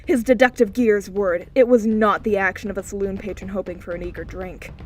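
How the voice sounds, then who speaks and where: A woman's voice: mid-range voice, steady tone, fairly quick, a little hiss or hum. A woman reading an audiobook, narrating scripted fiction in a dramatic style.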